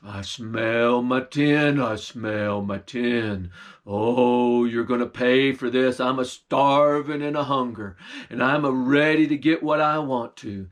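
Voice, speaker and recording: A man voicing a huge, hungry, menacing male bear, with slow growling threats in a folksy dialect. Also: medium pitch, pitched below the performer's own voice, lightly acted.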